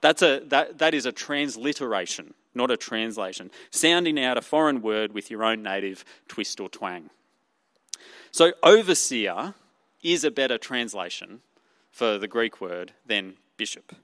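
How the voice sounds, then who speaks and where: A man's voice: medium pitch, lively intonation, measured pace, a bit bright, dry recording, big-room sound. A man with an Australian accent preaching a sermon as pastor, explaining earnestly with many fillers and restarts.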